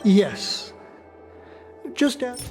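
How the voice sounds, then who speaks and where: A fussy, devoted male officer in a video game. His voice sits a bit high for a man, and he is theatrical and officious. There is soft music behind him. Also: very animated, extremely slow, heavily acted. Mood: admonishing. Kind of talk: video game voice acting, English dub.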